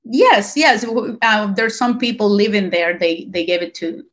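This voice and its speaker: A middle-aged woman, a native Spanish speaker with a Latin American accent, giving a personal account in an interview, earnestly and haltingly as she searches for words. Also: medium pitch. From Cuba, grew up partly in Costa Rica. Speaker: interview guest.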